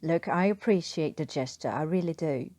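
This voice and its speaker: A woman's voice: sits low, moderate pace, a little mellow, no room sound, lightly acted. Woman doing a cheeky, under-the-weather Cockney bloke. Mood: rambling, apologetic. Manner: teasing, rambling, informal. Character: cheeky, sickly Cockney Englishman, a wartime airman. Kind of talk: storybook character voice.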